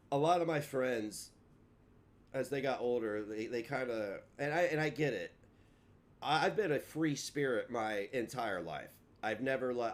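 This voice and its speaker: A man's voice: mid-range voice, a bit bright. Rambling man on a livestream. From Kentucky. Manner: rambling and self-mocking, trips over his words. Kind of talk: casual livestream chat.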